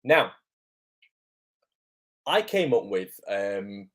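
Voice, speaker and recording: A man with a hoarse, croaky voice from illness, hosting a casual livestream as an acting coach, greeting viewers and filling pauses with "um". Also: lowish voice, sing-song, fairly bright tone. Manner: chatty, lots of "um" fillers, greets viewers by name. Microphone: camera microphone.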